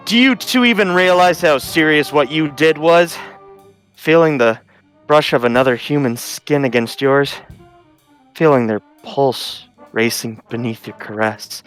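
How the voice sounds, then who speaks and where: A man's voice: a little high, measured pace, fairly bright tone, faint music behind. An actor playing a stern, overwrought policeman in a comedic audio drama. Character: overwrought stern male sanitization cop.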